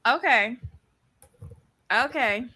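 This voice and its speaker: A woman's voice: a little high, expressive, very slow, sharp tone. A woman with a Barbadian accent, co-hosting a casual recap show and giving blunt, opinionated takes. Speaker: podcast co-host.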